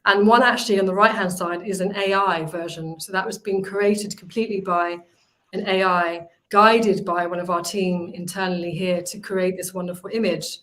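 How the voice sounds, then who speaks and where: A woman's voice: fairly low pitch, fairly even intonation, moderate pace, fairly bright tone, poor audio. Chatty woman on a video call. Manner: chatty, many fillers and restarts. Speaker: recruitment company representative.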